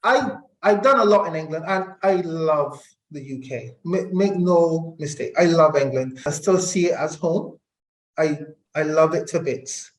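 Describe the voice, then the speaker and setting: A middle-aged man from Barbados, explaining his views deliberately as an interview guest and repeating phrases for emphasis. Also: fairly high pitch. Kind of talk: reflective conversational interview. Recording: echoey room.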